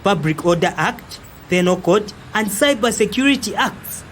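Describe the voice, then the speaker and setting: An actor playing an indignant young government critic with a Zambian accent, arguing emphatically with frequent interjections. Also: male voice, high-pitched, lively intonation, normal speed, fairly bright tone, slight background noise. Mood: insistent. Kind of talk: audio drama acting.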